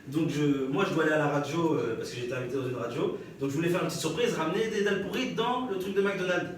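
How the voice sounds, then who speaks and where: A man's voice: fairly high pitch, fast. A young man with a French accent doing live stand-up storytelling, chatting and bantering with the audience and laughing often. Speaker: stand-up comedian. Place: live comedy stage before an audience. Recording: echoey live room, distant mic, audience noise.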